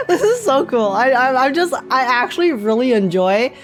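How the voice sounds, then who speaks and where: High-voiced woman reacting to a game. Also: very animated, moderate pace. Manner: informal, giggly. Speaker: streamer. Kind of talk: casual game reaction commentary. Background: game music.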